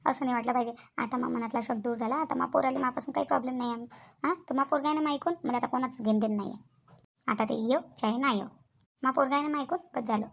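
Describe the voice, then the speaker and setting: Woman playing a fast, high-pitched fretful Varhadi mother. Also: gravelly. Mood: relieved, self-satisfied. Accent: Varhadi Marathi from Vidarbha. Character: fretful, wheedling middle-aged mother of a grown son. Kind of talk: cartoon family drama dialogue.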